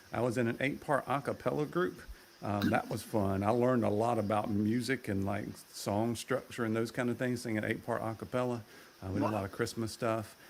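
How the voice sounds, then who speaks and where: A man with a low voice, chatting easygoing as a guest, rambling with fillers. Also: vocal fry, a little hiss or hum. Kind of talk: casual chat.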